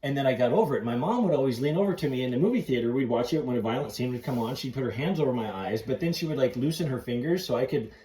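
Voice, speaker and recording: Excitable middle-aged man, roomy sound. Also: medium pitch, very fast, hardly any pauses. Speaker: podcast host. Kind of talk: casual chat.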